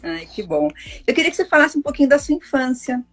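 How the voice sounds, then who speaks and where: A woman's voice: sits high, sing-song, fast, soft background music. A woman hosting an interview in a chatty, effusive way, full of casual fillers. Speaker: interview host.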